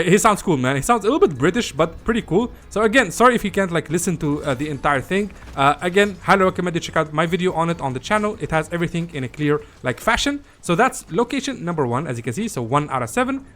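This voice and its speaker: A man's voice: high-pitched, fairly bright tone. A man giving an informal, hesitant gameplay guide, often repeating himself as he goes. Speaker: gaming video host. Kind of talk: casual gameplay guide commentary.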